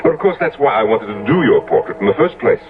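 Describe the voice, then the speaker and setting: An actor playing an older, genial London portrait painter in a 1970s radio drama, effusive and earnest. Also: male voice, lowish voice, lively intonation, fast talker, music in the background, close to an everyday voice. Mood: delighted, fond. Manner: effusive, exclamatory. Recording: noisy, narrow-band old radio recording.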